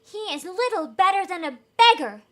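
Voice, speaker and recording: A woman's voice: extremely high pitch, lively intonation, normal speed, sharp tone, pitched above the performer's own voice, exaggerated voice. A woman voicing a vain, self-important male town mayor in a storybook, with pompous emphasis. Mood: haughty.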